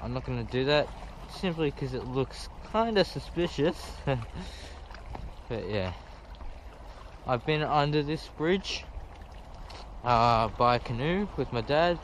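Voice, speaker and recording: A man's voice: medium pitch, expressive, measured pace, rough recording. A teenage man chatting casually into an action camera while exploring a windy waterfront, with hesitant asides and little laughs. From Australia. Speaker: vlogger. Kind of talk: casual vlog narration. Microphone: action camera on hand or head. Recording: wind noise, music underneath.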